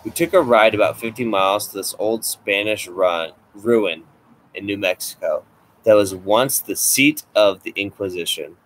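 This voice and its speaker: Low-voiced man reading a story aloud. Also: normal speed, a bit bright, a little hiss or hum, rough recording. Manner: swears freely, reads with dry asides. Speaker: podcast co-host. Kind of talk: casual podcast chat, reading a story aloud.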